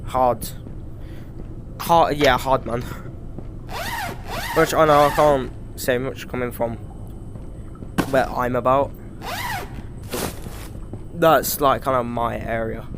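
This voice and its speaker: A man with a British accent giving rambling, casual commentary over his gameplay, swearing freely and filling pauses with "like" and "um". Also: mid-range voice, steady tone, background noise. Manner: rambling, frequent swearing and fillers. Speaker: gaming YouTuber.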